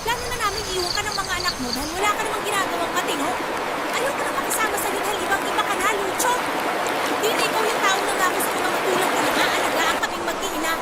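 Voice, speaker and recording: An actress playing a fed-up, sarcastic Filipino wife and mother, scolding emotionally in a radio drama. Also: very high-pitched. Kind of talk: Tagalog radio drama acting. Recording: loud music and crowd noise behind.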